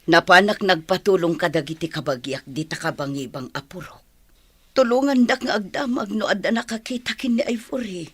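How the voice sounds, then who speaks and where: Actress as worried determined mom in radio drama, husky breathy voice, dry and close. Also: female voice, fairly low pitch, a little fast, a bit bright, no room sound. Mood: anxious. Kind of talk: Ilocano radio drama acting.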